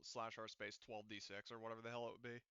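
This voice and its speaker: A man acting as game master in a casual online roleplaying session, thinking aloud haltingly with some mild swearing. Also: fairly low pitch, flat delivery, pushed and bright, highs cut off, slight background noise. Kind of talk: casual, improvised tabletop game running.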